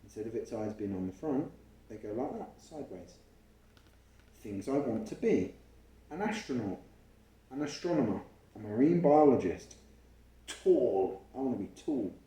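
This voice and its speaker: A man reading a picture book aloud to a child at home, pausing to explain and ask questions along the way. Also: mid-range voice, big pitch swings, warm tone, recorded from a distance. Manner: explains and asks questions as he reads. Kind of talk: storytime read-aloud with chatty asides to a child. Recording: roomy, echoey home recording.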